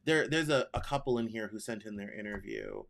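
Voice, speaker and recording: A man's voice: on the low side, huge pitch swings, fairly quick, creaky, a bit bright. Rambling man in his thirties hosting. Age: 30s. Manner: rambling, thinks aloud, corrects himself mid-sentence. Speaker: news show host. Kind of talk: casual spoken news commentary.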